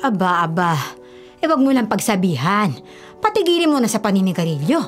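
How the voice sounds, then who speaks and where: Animated, nagging Filipino big sister played by an actress. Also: female voice, mid-range voice, a little fast, close to an everyday voice. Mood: scolding. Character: concerned, nagging older sister of a young man. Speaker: radio drama actress. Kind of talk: Filipino radio drama acting. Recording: faint music bed behind dialogue.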